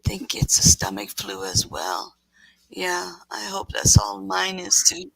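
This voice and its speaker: A woman hosting a casual livestream in a raspy voice, sick with a scratchy throat and talking in a rambling, halting way. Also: fairly low pitch, big pitch swings, no echo. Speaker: livestream host.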